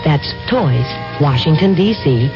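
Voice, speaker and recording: A man's voice: on the high side, lively intonation, slow, over music. A man reading a scripted public service announcement as a radio announcer. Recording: dull 1970s radio broadcast.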